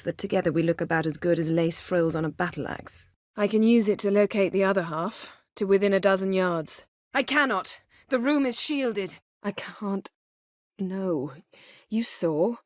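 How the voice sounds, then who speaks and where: A woman voicing a haughty, sharp-tongued sorceress in a video game, guarded yet devoted, speaking in an imperious, formal and wry manner. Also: mid-range voice, no echo, studio quality, close to an everyday voice. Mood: wry, cutting, then tense, urgent. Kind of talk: acted video game dialogue.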